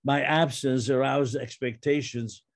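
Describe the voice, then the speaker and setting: An elderly man, introducing and reading his poetry in a halting, deliberate way. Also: mid-range voice, steady tone, no room sound. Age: 70s or older. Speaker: poet and curator. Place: book-lined study, indoors. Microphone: computer mic on video call.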